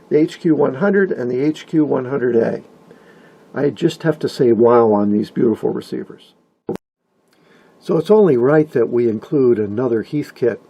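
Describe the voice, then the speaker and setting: A man's voice: medium pitch, gravelly, dark tone, breathy edge, poor audio. A man narrating a hobbyist video from a script, with wry, folksy, playful asides and an enthusiast's turn of phrase.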